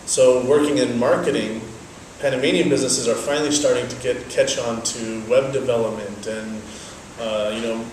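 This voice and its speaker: A young man whose first language is Dutch, giving measured, explanatory answers in an interview. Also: medium pitch, steady tone, darkish tone, slight background noise. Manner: measured, explanatory, thoughtful pauses. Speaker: interviewee, business owner. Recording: very echoey, from a distance, faint ambience.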